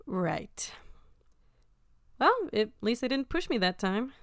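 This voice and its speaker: A woman playing a feisty, flustered young office newcomer in an audio drama, excitable, sarcastic and prone to stammering. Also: on the high side, slow pace, dry recording, studio quality, full character voice. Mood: puzzled.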